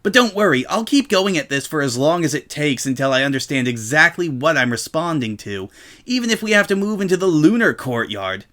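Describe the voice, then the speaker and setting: A man's voice: fairly high pitch, sing-song, a little fast, fairly bright tone. A man voicing a brash female pegasus pony who rambles on with feigned cluelessness. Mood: eagerly obtuse.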